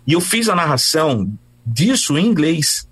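Brazilian voiceover guy telling stories, kinda husky, pitch all over the place, chatty. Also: a little slow, low-quality recording. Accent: Brazilian Portuguese. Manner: animated, chatty, many restarts. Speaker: voice-over announcer guest. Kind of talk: casual storytelling interview.

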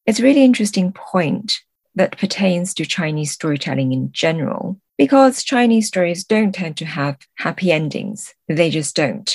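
Low-voiced woman explaining thoughtfully. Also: big pitch swings, darkish tone, no echo. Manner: measured, articulate, explanatory. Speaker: guest translator. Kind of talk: thoughtful interview discussion.

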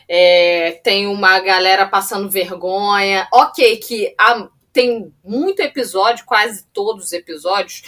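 A woman's voice: mid-range voice, a bit bright. A woman co-hosting a casual chat podcast, with a playful, mocking, salty way of talking. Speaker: podcast co-host.

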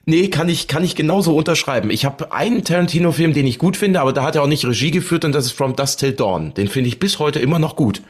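A man's voice: a little high, lively intonation, quick pace, few pauses, husky. A man hosting a casual podcast interview, agreeing readily and affirming his guest.

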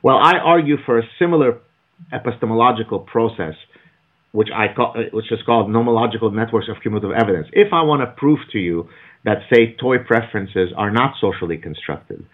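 A man lecturing with emphatic, argumentative force, his voice rising in pitch for stress. He sits on the low side and comes through a thin, telephone-like line. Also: lively intonation, brisk. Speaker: author and guest. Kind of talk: podcast interview, lecturing. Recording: phone-like narrow audio.

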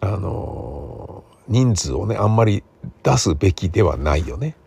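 A man talking casually on his own, thinking aloud in a rambling, self-correcting way with a gravelly, husky voice. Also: low-pitched, sing-song, measured pace, a little mellow. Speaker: radio drama writer and theatre playwright.

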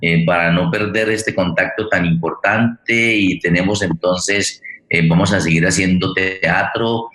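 Male Colombian host, low-pitched, roomy sound, spontaneous and halting delivery. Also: steady tone, a bit bright. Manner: halting, repeats and rephrases words. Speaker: host of an online radio theatre forum. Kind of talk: spontaneous closing remarks and thanks.

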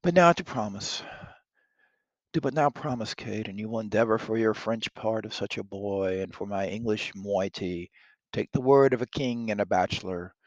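A man's voice: lowish voice, normal speed, no room sound, muffled recording, natural voice. A man reading as a bold young king wooing. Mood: ardent. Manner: earnest, declamatory reading of old verse.

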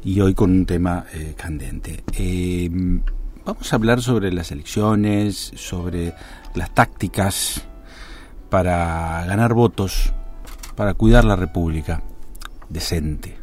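Mock-earnest argentine radio host, man, fairly low voice, soft music behind. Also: slow. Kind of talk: satirical radio talk.

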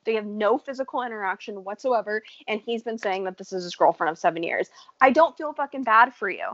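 A woman's voice: medium pitch, animated, a little fast, a bit bright, dry recording. American woman gossiping, swears a lot, laughs a lot, kinda dull dry sound. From United States. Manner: blunt and profane, laughing often. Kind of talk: casual chat about a reality show.

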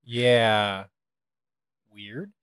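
A man's voice: on the low side, level tone, drawn-out words, fairly bright tone, smooth, dry recording. A young man co-hosting a casual chat, joking in a dry, wry way and sounding tired after a long day. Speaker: podcast co-host.

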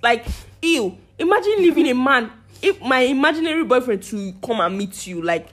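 Young woman hosting a podcast, loud and hyped, kinda high voice. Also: moderate pace. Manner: loud, hyped, animated storytelling. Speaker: podcast host. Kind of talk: casual chat.

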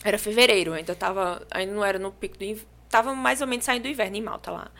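A young adult woman with a Brazilian accent from João Pessoa, a podcast guest telling her story in casual chat, lively and animated. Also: mid-range voice, very fast. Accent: Brazilian Portuguese, from João Pessoa.

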